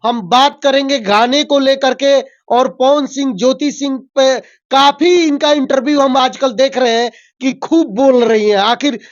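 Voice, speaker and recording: A woman's voice: high-pitched, steady tone, measured pace, few pauses. Woman interviewer, dull lo-fi recording. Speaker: interviewer for a web news channel. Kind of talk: conversational interview questioning. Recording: dull, lo-fi sound.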